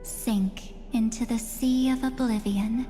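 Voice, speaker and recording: An enigmatic young female spirit from a video game. She speaks slowly in a fairly high, breathy voice, coaxing and teasing with quiet menace. Music plays softly underneath. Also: fairly even intonation. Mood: coaxing, ominous. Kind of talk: scripted video game dialogue.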